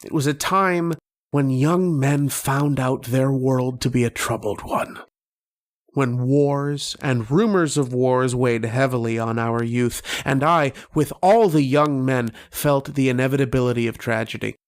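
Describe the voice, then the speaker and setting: A man playing a haunted narrator who recalls an obsessive dream, in solemn, literary, measured radio-drama delivery. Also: mid-range voice, natural voice. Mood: brooding, solemn.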